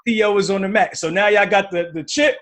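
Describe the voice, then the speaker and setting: A man's voice: sits high, brisk, a bit bright. A man hosting a podcast, talking in a loose, casual way with slangy asides and many repeated words. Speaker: podcast host. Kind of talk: casual interview chat.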